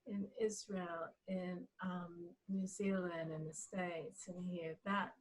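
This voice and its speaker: A woman being interviewed as a guest, answering reflectively in a halting but emphatic storytelling manner. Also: lowish voice, level tone, low-quality recording.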